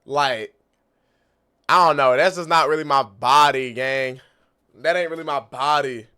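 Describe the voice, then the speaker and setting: A man's voice: on the high side, unhurried, sharp tone, smooth. A man streaming live, commenting casually on a video in a laid-back, slangy way, often laughing and repeating himself.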